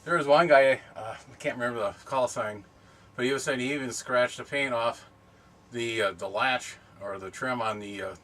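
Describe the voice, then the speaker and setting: A middle-aged man chatting casually and unscripted for a vlog, explaining things in a rambling, halting way. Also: mid-range voice, a bit bright. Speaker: camping and ham radio vlogger. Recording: distant mic, background noise.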